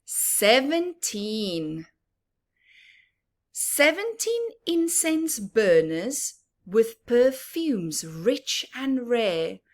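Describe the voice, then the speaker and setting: Slow-paced woman reading to children. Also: a little high. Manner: expressive, teacherly, emphatic repetition, questions to the listener. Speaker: children's story time reader. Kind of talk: picture book read-aloud with explanatory asides to children.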